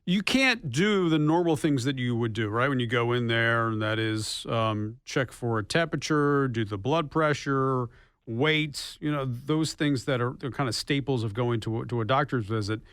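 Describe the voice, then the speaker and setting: A man works as a radio show host, interviewing a guest in a conversational broadcast style. His voice sits at a middling pitch, recorded dry with very little room sound. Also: normal speed, fairly bright tone, clean tone, no echo.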